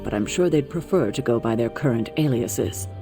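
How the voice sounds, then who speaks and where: An actor playing a composed, authoritative leader of a superhero team, speaking in a measured, formal, articulate way in a dramatic video game. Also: male voice, mid-range voice, expressive, vocal fry. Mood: grave, informative. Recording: music behind the voice.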